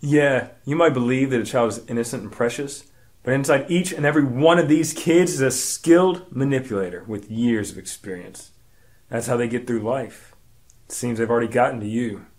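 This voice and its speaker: A suspicious, hard-nosed detective played by a man in a comic radio drama. The voice sits at an average male pitch, and the manner is formal, pompous and insistent, as if always interrogating. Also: moderate pace, a little mellow, close to an everyday voice. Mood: stern. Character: stern, suspicious male detective grilling small children.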